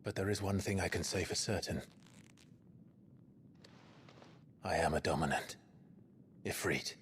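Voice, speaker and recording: A man voicing a brooding, burdened young man in a video game, speaking gravely and earnestly with quiet resolve. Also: low-pitched, a little hiss or hum. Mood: somber. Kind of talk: dramatic video game acting. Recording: music under the voice.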